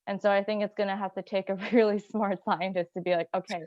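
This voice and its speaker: A woman's voice: mid-range voice, level tone, fast talker, darkish tone, no echo. A woman from Iran on a video call, thinking aloud in a casual discussion with many fillers. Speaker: journal club participant. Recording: muffled dry call audio.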